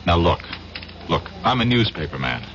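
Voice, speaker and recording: A man's voice: low-pitched, very animated, normal speed, fairly bright tone. An actor playing an earnest, skeptical young newspaperman in a 1970s radio drama, hesitant and incredulous in his delivery. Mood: wary, disbelieving. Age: young adult. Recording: dull, noisy old radio broadcast.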